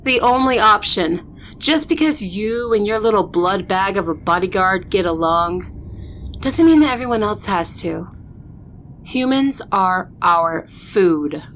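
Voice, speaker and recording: A woman's voice: medium pitch, animated, fairly bright tone, a little hiss or hum. A woman playing an arrogant, ruthless vampire princess in an audio roleplay, speaking in a haughty, threatening way. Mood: mocking, superior. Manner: haughty, threatening, slow deliberate delivery. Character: arrogant vampire princess, ruthless eldest sister, war-hungry.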